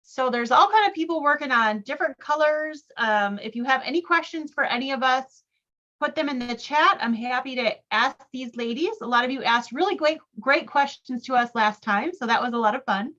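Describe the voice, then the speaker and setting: A woman's voice: high voice, brisk, sharp tone, muffled recording. A woman hosting a casual quilting livestream, upbeat and greeting viewers one after another by name.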